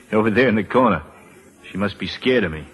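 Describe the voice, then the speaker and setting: Low-voiced, cynical tough ex-soldier. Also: male voice, animated, moderate pace, fairly bright tone, breathy edge, poor audio. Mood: defiant. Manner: clipped, sardonic, slangy. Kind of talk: old-time radio drama acting. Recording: vintage radio broadcast recording.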